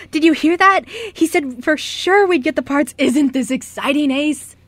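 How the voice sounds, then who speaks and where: A woman's voice: high voice, measured pace, a bit bright, dry recording. A woman playing a bubbly, impulsive young stuntwoman who gushes and rambles, in an amateur radio play. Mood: thrilled. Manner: gushing, rambling.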